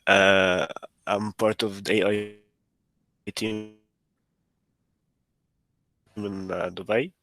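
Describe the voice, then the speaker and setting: A man's voice: lowish voice, level tone, very slow, vocal fry, pauses often, fairly bright tone, no room sound. A man from Egypt with an Egyptian accent, informally introducing himself on a video call. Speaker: AI team member.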